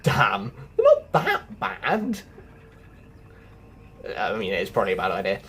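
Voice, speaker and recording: A man's voice: lowish voice, huge pitch swings, a little slow, fairly bright tone, slight background noise. A man hosting a casual gameplay walkthrough, thinking aloud and talking straight to his viewers. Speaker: gaming video host.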